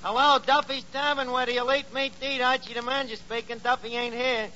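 A dull, slightly noisy 1940s radio recording of a breezy tavern manager with a New York accent. His high, sharp voice tosses off slangy deadpan wisecracks. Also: fairly quick. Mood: cheeky, breezy. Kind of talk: 1940s radio comedy monologue on the phone.

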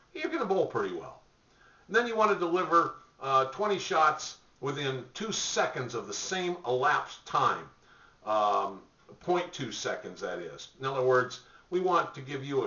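A man's voice: medium pitch, pushed and bright. An older man giving bowling practice tips straight to camera from a home office, talking conversationally with fillers and restarts. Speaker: bowling coach. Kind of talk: direct-to-camera tips talk. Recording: roomy, distant, dull webcam sound.

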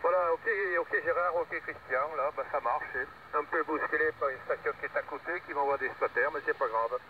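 A distant, tinny radio link with clear noise carries an older man's voice. He talks casually, as one ham operator to another. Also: a little high, fairly quick. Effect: two-way radio.

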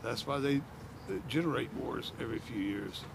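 An older man answers questions outdoors in a slow, halting, blunt manner. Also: medium pitch, vocal fry. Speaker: interviewee, longtime observer. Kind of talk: outdoor street interview. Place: outdoors by a brick building. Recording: outdoor noise behind.

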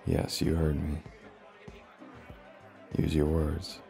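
Very deep, gravelly man acting a flirtatious professor. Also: monotone, slow, warm tone, soft background music, heavily acted. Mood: dominant, seductive.

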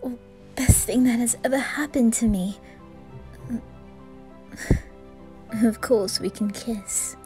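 A woman voicing a wounded, lovestruck teenage boy in an ASMR roleplay, soft and breathy with a hesitant, tender manner. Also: fairly high pitch, moderate pace, soft background music, lightly acted. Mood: loving. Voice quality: soft, breathy, often whispering.

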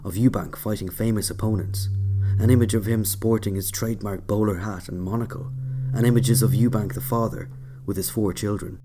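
A man narrating a scripted autobiographical story in a measured, dry way. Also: on the low side, steady tone, noisy background. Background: loud music.

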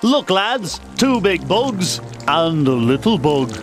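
High-pitched chummy Scouse swamp creature. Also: male voice, sing-song, slow, exaggerated voice. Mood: matey. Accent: Liverpool. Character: chummy Liverpudlian talking swamp bug plants, mournful. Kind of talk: children's computer game cartoon acting. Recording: music underneath.

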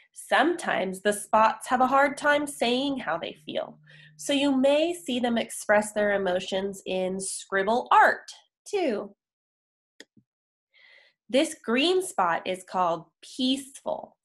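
Slow-paced woman reading a picture book. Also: mid-range voice. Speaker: children's picture book reader. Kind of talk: read-aloud storytelling for young children. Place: indoor room, on a video call.

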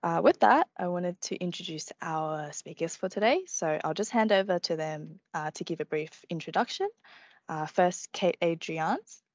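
Brief: woman webinar host, low voice, dry close recording, hesitant fillers. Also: moderate pace, no room sound. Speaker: publisher hosting a webinar. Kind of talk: webinar hosting. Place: home room, video call.

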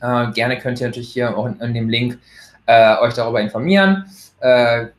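A man's voice: medium pitch, moderate pace. A man in his thirties from Germany gives a casual recruitment testimonial over a laptop webcam, hesitating often with fillers and restarts. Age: 30s. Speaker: employee giving a testimonial. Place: bright room at home, indoors. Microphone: laptop webcam, video call. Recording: echoey room, laptop mic.

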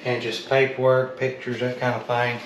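A man's voice: medium pitch, monotone, normal speed. A man, chatting offhand as he sorts through a storage unit. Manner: drawling, offhand, calls things slick. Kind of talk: casual chat while unboxing. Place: metal storage unit, indoors. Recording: loud clanking background noise.

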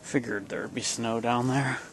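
A man's voice: mid-range voice, fairly even intonation, measured pace, a little hiss or hum. A man giving casual vlog commentary while filming on a handheld camera outdoors on a wooded trail. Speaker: vlogger. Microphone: handheld camera, held by the speaker.

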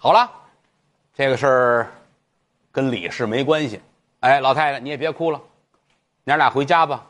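A man voicing a shrewd adviser to a judge, speaking in a calm, measured way. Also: medium pitch, natural voice.

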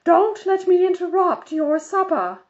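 A woman's voice: extremely high pitch, animated, a little slow, darkish tone, voice pushed up. A woman voicing a bossy, self-important middle-aged lady in a storybook, lecturing in a preachy, insistent way. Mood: bossy, emphatic. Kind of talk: storybook character voice. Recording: dull, muffled lo-fi audio.

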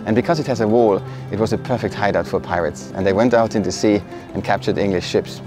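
A man in his forties from a German-speaking part of northern Italy, telling his story to the camera in a relaxed way. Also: on the low side, fast talker. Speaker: vlog co-host. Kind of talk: casual talk to camera. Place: indoor room, later outdoors by a harbour. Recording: roomy sound with background music.

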